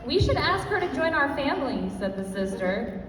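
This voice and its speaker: A woman's voice: sits high, sing-song, normal speed, a bit bright. A woman giving a humorous scripted wedding speech, read aloud in storybook fashion. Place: large reverberant event room at a wedding. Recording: very echoey, noisy hall from far off.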